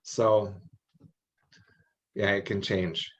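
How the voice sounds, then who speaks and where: A man's voice: lowish voice, little pitch movement, drawn-out words, a bit bright. A man talking informally in an online meeting, advising others, over dull call audio.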